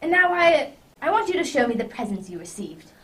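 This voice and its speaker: A woman's voice: high-pitched, very animated, brisk, a bit bright. Woman doing a jolly old-fashioned gent detective, radio play, very up-and-down voice, bit of noise. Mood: genial, kindly. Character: genial, masterful gentleman detective, grown man. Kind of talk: amateur radio drama acting. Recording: distant mic with faint noise.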